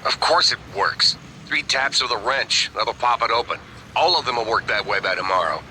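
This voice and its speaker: An actor playing a proud, prickly gadget-tinkering smuggler in a video game, terse and irritable. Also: male voice, medium pitch, expressive, normal speed, bright, forceful tone, a little hiss or hum, rough recording. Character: gruff, proud male gadget-tinkering smuggler, easily needled. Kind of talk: scripted game dialogue.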